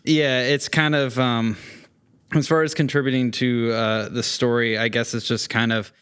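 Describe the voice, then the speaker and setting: A man speaking as a guest actor in a casual interview, halting and joking as he goes. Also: medium pitch, little pitch movement, a bit bright, clean tone.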